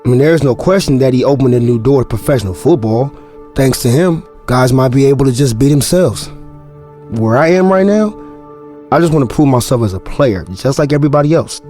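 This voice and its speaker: A man's voice: mid-range voice, expressive, fairly quick, dark tone, faint music behind, natural voice. An actor playing a young football running back who narrates his own story in a reflective, wry inner monologue for an audio drama. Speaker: lead character and narrator.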